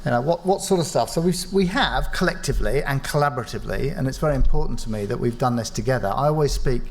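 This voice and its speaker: A man from an engineering firm speaking on a panel at a live event, measured and reflective, modest about his own part in the work. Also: mid-range voice, lively intonation. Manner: measured, reflective, self-deprecating. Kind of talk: panel talk. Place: event space with live audience.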